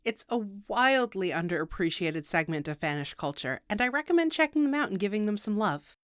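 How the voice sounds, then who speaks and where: A woman's voice: medium pitch, big pitch swings, fairly quick, smooth, no echo. A woman with a mid-range voice narrates a scripted how-to guide. She is precise and instructional, slipping in wry asides. The sound is very dry, with little room to it. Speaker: video tutorial host.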